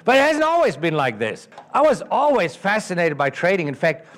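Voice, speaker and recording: A man with a German accent tells stories on stage, animated and full of questions for his audience. His voice sits fairly high and jumps around a great deal. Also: a little fast. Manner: animated, asks the audience questions, acts out the dialogue. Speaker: trading educator. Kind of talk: storytelling talk at a live event. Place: event venue with an audience.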